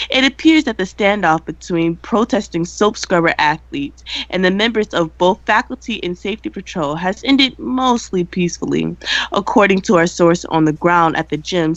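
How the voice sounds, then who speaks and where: A woman acts out a prim student news host who cares about the rules. Her voice sits fairly low, with measured, scripted radio-play delivery. The sound is dry and a little muffled. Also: no echo.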